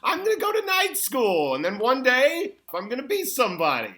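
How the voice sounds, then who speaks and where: A woman's voice: sits high, wildly swooping pitch, normal speed, pushed and bright, exaggerated voice. A woman playing an earnest, ambitious young male busboy, emphatic and exhorting, in a comedic table read. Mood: indignant, determined. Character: earnest, ambitious eighteen-year-old male busboy, proud.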